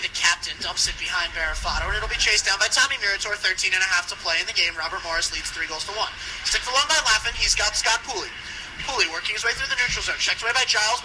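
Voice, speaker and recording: Male radio hockey caller, high register, brisk live delivery, noisy rink broadcast. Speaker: ice hockey play-by-play commentator. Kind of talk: live radio sports commentary.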